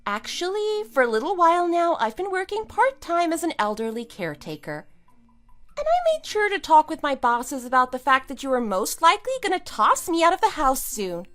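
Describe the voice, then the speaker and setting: A woman's voice: sits high, wildly swooping pitch, normal speed, fairly bright tone, soft background music, exaggerated voice. A woman playing a scornful, triumphant wife in an audio drama, with a sarcastic, emphatic delivery. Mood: smug, matter-of-fact. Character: wronged wife, scornful and triumphant, kicking out cheating husband. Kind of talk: amateur audio drama acting.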